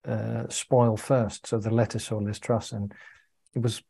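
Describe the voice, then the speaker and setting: A man's voice: fairly low pitch, level tone, unhurried, vocal fry, warm tone, husky. A young adult man from the UK, co-hosting a video call and talking carefully and hesitantly. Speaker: co-host. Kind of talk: casual video call chat.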